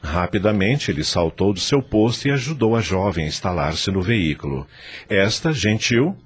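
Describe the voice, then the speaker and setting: A man narrating a radio drama in an expressive storytelling manner. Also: lowish voice, moderate pace, husky.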